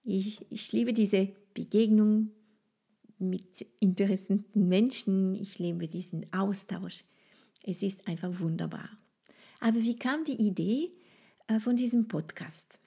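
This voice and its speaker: French-accented woman host, mellow and breathy, gentle unhurried invitation to the listener. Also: mid-range voice. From France. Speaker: podcast host and French language trainer. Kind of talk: solo podcast monologue.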